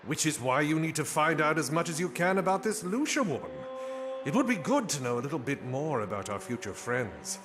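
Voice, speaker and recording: An actor playing a cunning, coldly pragmatic guild leader in a fantasy game, speaking with deliberate, smug self-assurance. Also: male voice, fairly high pitch, big pitch swings, music in the background. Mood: smug, calculating. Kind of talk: scripted fantasy game voice acting. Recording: noisy game ambience behind the voice.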